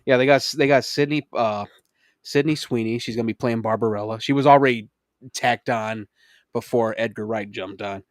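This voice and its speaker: A man co-hosting a casual podcast, talking excitedly, laughing often and swearing freely. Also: medium pitch, normal speed, no room sound, studio quality. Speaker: podcast co-host. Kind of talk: casual chat.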